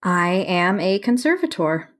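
An actress playing a reserved, quietly earnest young map conservator in a romantic comedy audio play, hesitant and understated. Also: female voice, mid-range voice, sing-song, unhurried. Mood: reserved, honest. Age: young adult. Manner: hesitant, understated, brief replies.

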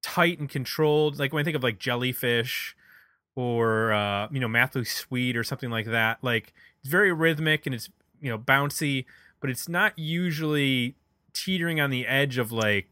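A man's voice: medium pitch, normal speed, bright, forceful tone, no echo, clean studio sound. A man talking casually and analytically about music as a podcast host, feeling his way through his phrasing. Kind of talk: casual album discussion.

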